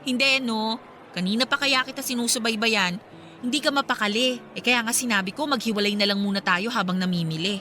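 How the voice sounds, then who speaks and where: A woman acting in a Filipino radio drama as a devout, hopeful friend, earnest and expressive. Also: fairly high pitch, a little fast, slight background noise. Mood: playful, teasing.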